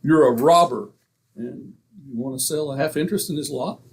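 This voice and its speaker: A man's voice: fairly high pitch, normal speed, slight background noise, lightly acted. A man acting a stubborn, penny-pinching husband in old-time radio comedy, indignant and folksy in manner. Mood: angry muttering.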